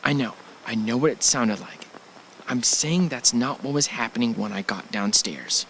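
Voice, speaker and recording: A man's voice: mid-range voice, expressive, moderate pace, gravelly, fairly bright tone, slight background noise, rough recording. A man playing a wary, reluctant partner in an audio drama, in an everyday voice. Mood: uneasy, baffled. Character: wary, reluctant male partner of an adventurous woman.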